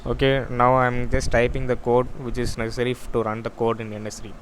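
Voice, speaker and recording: A man giving an informal software demo walkthrough, explaining in a halting, repetitive way. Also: mid-range voice. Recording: clear steady background noise.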